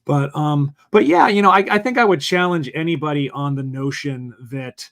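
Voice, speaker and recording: A man in casual conversation as a guest, his voice raspy and worn from long recording, speaking thoughtfully with some restarts. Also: a little high, normal speed, fairly bright tone. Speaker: guest, film festival organizer.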